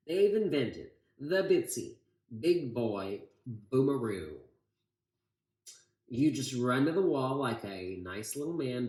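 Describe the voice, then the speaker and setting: Storybook chief: commanding, boastful creature leader, acted by a male reader, excitable, unhurried, roomy sound. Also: mid-range voice. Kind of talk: storybook read-aloud. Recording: echoey room.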